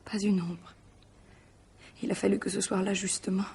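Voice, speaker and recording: A woman's voice: mid-range voice, flat delivery, moderate pace, creaky, dark tone, breathy edge. A woman acting a guarded, distraught young mistress in a French radio mystery drama, speaking formally, evasively and with hesitation. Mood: anguished.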